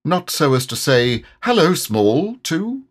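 A man voicing a humble, slow-witted, amiable toy bear in a children's storybook, speaking in a hesitant, earnest way. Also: a little high, big pitch swings, pitched above the performer's own voice, exaggerated voice. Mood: puzzled, earnest. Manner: hesitant, ponderous, simple and earnest.

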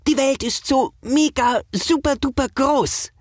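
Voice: sits high, unhurried, dry recording, clean studio sound, pitched above the performer's own voice. A narrator voicing an eager, boastful young superhero who gushes and gets flustered and stammers, in a children's audio story. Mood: excited. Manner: exuberant, stammering, invents gushing super-words.

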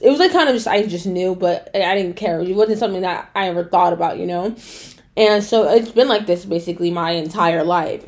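A young woman hosting a casual solo podcast, chatty and rambling with lots of fillers, her voice a little rough from a cold. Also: mid-range voice. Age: young adult. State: has a cold, throat clearing. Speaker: podcast host.

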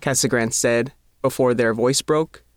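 A man's voice: mid-range voice, steady tone, a little slow, no room sound. A man reading a scripted newspaper feature aloud as the reporter. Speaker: reporter reading their own article.

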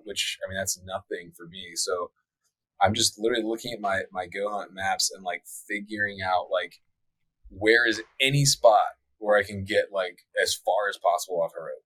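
Laid-back low-voiced young man. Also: a bit bright, breathy edge, no room sound, rough recording. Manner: laid-back, many likes and ums, faith talk. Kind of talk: casual podcast chat.

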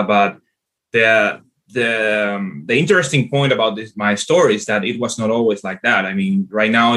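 Halting Venezuelan man with bright voice. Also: fairly low pitch. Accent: Venezuelan Spanish. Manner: halting, many fillers and restarts. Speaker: guest. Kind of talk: podcast interview answer.

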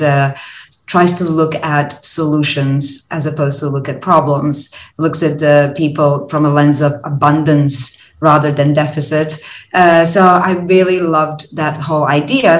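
Low-voiced woman from Croatia in an echoey room. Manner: earnest and thoughtful, with hesitant fillers. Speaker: guest, positive psychology lecturer and consultant. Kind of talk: explanatory podcast interview. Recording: roomy echoey sound.

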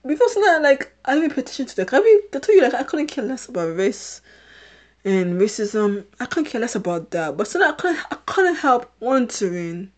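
A middle-aged woman from Haiti with a British-sounding accent, rambling through a casual solo podcast, repeating herself and laughing often. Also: a little high. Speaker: podcast host.

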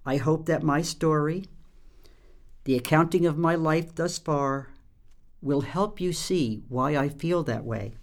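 A woman in her seventies telling her life story in a measured, reflective way. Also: low voice. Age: 70s. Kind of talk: personal storytelling.

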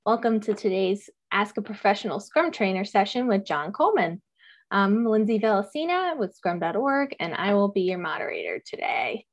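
A woman's voice: mid-range voice, big pitch swings, normal speed. Woman moderating a webinar. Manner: friendly, many "um" fillers. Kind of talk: hosting a webinar.

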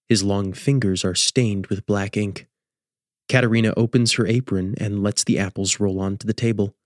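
A man hosting and narrating a radio drama as a measured, scripted storyteller. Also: fairly low pitch, no echo, clean studio sound. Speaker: host and narrator.

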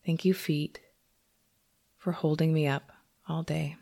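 A woman leading a guided meditation as a teacher, speaking gently and unhurriedly with long pauses. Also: deep voice, fairly even intonation, gravelly.

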